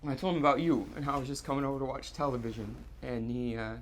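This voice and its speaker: An actor playing a distraught, guilt-ridden man on stage, confessing in halting, repetitive, stammered phrases in a live theatre monologue. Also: medium pitch, a little hiss or hum, natural voice. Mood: hesitant, recounting. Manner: halting, stammering, repeating himself.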